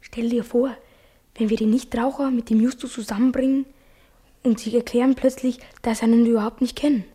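A woman playing an anxious, excitable schoolboy in a children's radio play, with a husky, breathy, confiding voice. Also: a little high, fairly quick. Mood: worried, conspiratorial. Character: schoolboy, anxious but excitable loyal friend.

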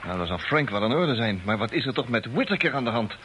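A man acting an excitable, eager radio operator aboard a spaceship in an old radio play. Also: medium pitch, quick pace. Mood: puzzled. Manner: animated, eager. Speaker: radio drama actor. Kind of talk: mid-century Dutch radio play acting. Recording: old radio recording, faint hiss.

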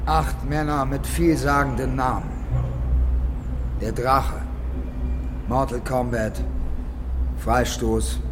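An actor playing a domineering narrator of a seedy city in a literary radio drama, with a commanding, declamatory delivery. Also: male voice, medium pitch, background noise, lightly acted. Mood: declaiming. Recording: music behind the voice. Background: music.

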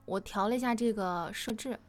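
A woman hosting a live interview stream in a chatty, openly excited and nervous manner. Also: medium pitch, normal speed. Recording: music playing behind the voice. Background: music.

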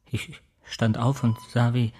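A tormented man with a husky, breathy voice at middle pitch. He is a fearful patient in a radio play, speaking haltingly and in anguish. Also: level tone, a little mellow. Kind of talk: radio drama acting.

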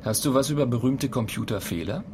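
An impatient, sardonic man, played by an actor in a radio drama, quizzing a machine. His voice sits in a middle range, with curt, needling phrasing. There is clear ambient noise behind him. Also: medium pitch, brisk, natural voice. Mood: inquisitive. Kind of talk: radio play dialogue.

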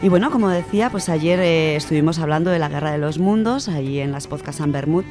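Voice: medium pitch, brisk. A radio presenter with an accent from Spain, talking about books in a chatty, enthusiastic way. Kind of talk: conversational radio book talk. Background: cello music.

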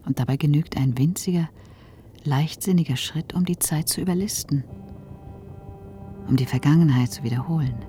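A woman playing a wistful, mysterious figure in a poetic radio drama monologue, whispery, slow and dreamy. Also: low-pitched, warm tone, lightly acted. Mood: wistful, musing. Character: wistful, mysterious woman musing on time and love. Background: music.

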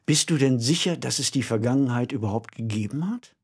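A man co-hosting a podcast, talking in a conversational, reflective way with measured phrasing. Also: medium pitch, animated, husky. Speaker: podcast co-host.

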